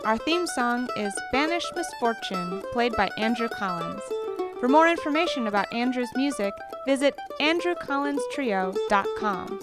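A woman reading scripted podcast credits as an announcer. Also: fairly high pitch. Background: loud mandolin folk music.

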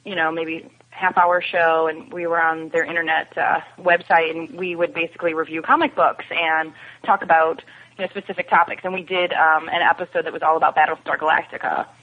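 A woman's voice: low-pitched, level tone, a little fast. A woman chatting casually as a guest on a podcast. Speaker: podcast guest and occasional co-host. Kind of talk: casual chat. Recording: dull, lo-fi remote-call sound.

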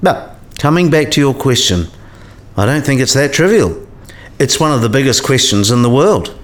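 An actor playing an eccentric, kindly old philosophy professor in a radio play, musing and didactic. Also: male voice, medium pitch, animated, normal speed, lightly acted. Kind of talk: scripted radio play dialogue.

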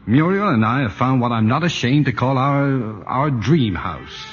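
A man's voice: medium pitch, expressive, measured pace, slightly rough, soft background music. A man playing a stubborn, dreamy husband with an American accent, earnest and defensive, in 1940s radio acting. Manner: earnest, defensive, hesitant starts. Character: stubborn, dreamy middle-class husband, smitten with his house. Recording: dull lo-fi 1940s radio broadcast.

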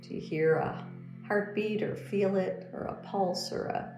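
A woman leading a guided meditation as a teacher, speaking in a gentle, soothing, unhurried instructional manner. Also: medium pitch, fairly even intonation. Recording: roomy echo under background music. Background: meditation music.

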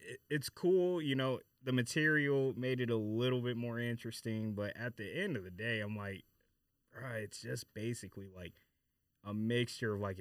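A man's voice: mid-range voice, a bit bright, no echo, clean studio sound. A man chatting casually as a podcast guest, giving skeptical, informal opinions about sneakers. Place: dry studio.

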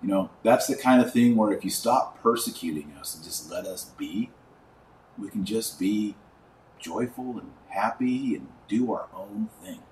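A middle-aged man giving informal religious commentary as a podcast host, speaking emphatically with pauses and drawn-out key words. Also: on the low side, darkish tone. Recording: roomy echo, distant mic, faint noise.

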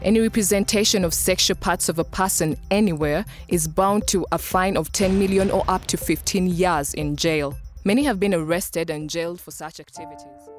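A woman's voice: fairly low pitch, normal speed, hardly any pauses, faint music behind, lightly acted. A woman playing a formal, sober narrator, reading scripted narration in a radio play. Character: formal, sober female narrator stating the law.

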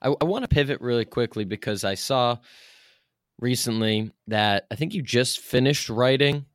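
A man's voice: mid-range voice, normal speed, smooth, dry recording, studio quality. A man hosting an interview in a casual, informal, chatty way, peppering his talk with "like". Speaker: podcast host, interviewer.

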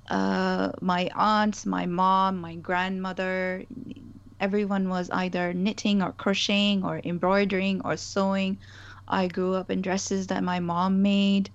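A woman's voice: medium pitch, dull audio. A woman whose first language is Urdu, a guest in a casual interview, speaking thoughtfully with frequent restarts. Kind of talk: conversational interview.

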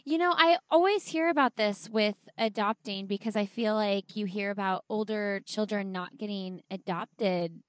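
A woman's voice: medium pitch, moderate pace, hardly any pauses, no room sound. A woman hosting a podcast, asking curious, eager questions in casual conversation. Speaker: podcast host.

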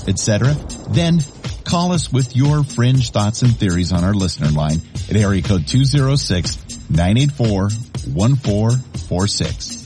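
A man with a low voice delivers a podcast promo in a lively, confiding pitch. His voice swings widely up and down as he sells the show. A clear music bed plays under him the whole time.

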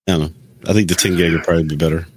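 A man's voice: low-pitched, fairly even intonation, rapid-fire, husky. A man chatting casually about tech as a panelist on a show.

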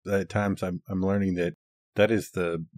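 A man's voice: low-pitched, flat delivery, moderate pace, a little mellow, dry recording, clean studio sound. A man co-hosting a podcast, chatting casually in an easygoing way. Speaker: podcast co-host. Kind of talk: casual chat.

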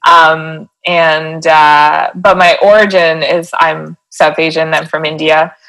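A woman's voice: low voice, level tone, slow pace, vocal fry, fairly bright tone, rough recording. A woman in her thirties with a Canadian accent, a coach talking earnestly in a casual interview, rambling with many fillers. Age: 30s. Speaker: guest, transformation coach.

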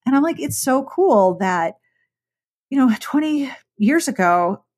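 A woman's voice: sits high, expressive, unhurried, darkish tone. A woman hosting a podcast interview, chatting enthusiastically and poking fun at herself. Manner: enthusiastic, self-deprecating, chatty. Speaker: podcast host. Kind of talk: conversational interview.

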